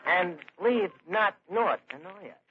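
An actor playing a wisecracking, blustering New York tavern manager in an old-time radio comedy, with slangy, hesitant patter. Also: male voice, sits high, animated, slow pace. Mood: self-important. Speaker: comedy show lead. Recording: dull, noisy old radio recording.

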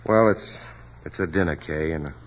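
An actor playing a hard-up stage actor in a 1940s radio drama, talking bashfully with stammers and hesitations. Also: male voice, very deep voice, moderate pace, a little hiss or hum. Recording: dull lo-fi old radio recording.

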